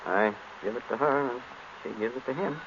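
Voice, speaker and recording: An actor playing a fussy, harried lawyer in a 1930s radio drama, polite and hesitant, on a muffled, hissy old broadcast. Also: male voice, mid-range voice, a little fast, a bit bright, lightly acted. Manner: hesitant, throat-clearing, polite.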